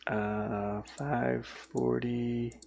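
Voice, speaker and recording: A man giving casual, easygoing devlog commentary as an indie game developer, halting and thinking out loud while he works. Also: medium pitch, flat delivery, gravelly. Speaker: indie game developer showing his own game.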